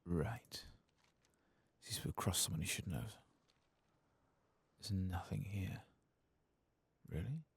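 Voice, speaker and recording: Very deep, creaky soft-spoken man. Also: takes it slowly, pauses often. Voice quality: soft, breathy, often dropping to whisper. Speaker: video game streamer. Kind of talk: soft-spoken ASMR gameplay commentary.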